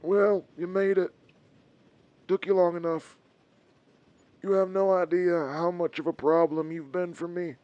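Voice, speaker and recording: A man's voice: sits high, level tone, a little slow, long pauses, highs cut off. A man playing a cold, taunting villain in an audio drama, an exhausted old enemy who keeps tight control. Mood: smug.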